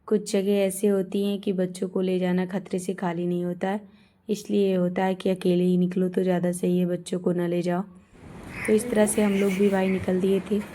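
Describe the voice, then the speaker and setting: Hoarse woman chatting in a vlog. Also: lowish voice, flat delivery, moderate pace, dark tone. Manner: homely, chatty, addresses viewers as friends. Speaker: vlogger. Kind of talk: chatty travel vlog narration.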